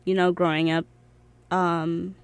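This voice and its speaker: A woman's voice: fairly low pitch, little pitch movement, slow pace, no echo. A young woman from Egypt whose first language is Arabic, chatting casually as an interview guest in an informal, giggly way peppered with "like". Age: young adult. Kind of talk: casual chat.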